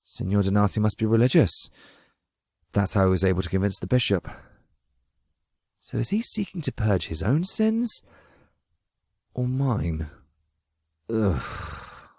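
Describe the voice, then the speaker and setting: A man voicing an anxious, deferential former captive in an audio drama, effusive and halting, with formal courtesy. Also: lowish voice, very animated, creaky, a little mellow, no echo, studio quality, heavily acted. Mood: wary, musing. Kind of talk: dramatic audiobook character acting.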